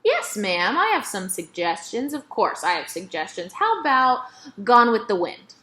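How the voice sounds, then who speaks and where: A woman's voice: fairly high pitch, very animated, normal speed, bright, forceful tone. Woman voicing a theatrical elderly lady librarian. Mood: eager.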